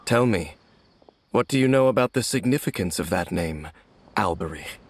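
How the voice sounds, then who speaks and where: An actor playing a grave, world-weary, mysterious wanderer in a video game, speaking in a solemn, formal manner. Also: male voice, sits low, lively intonation, moderate pace, slight background noise. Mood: grave, probing.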